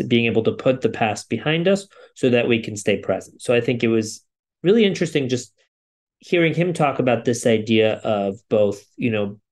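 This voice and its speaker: A man co-hosting a podcast, giving a thoughtful solo recap in loosely strung sentences. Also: medium pitch. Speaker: podcast co-host.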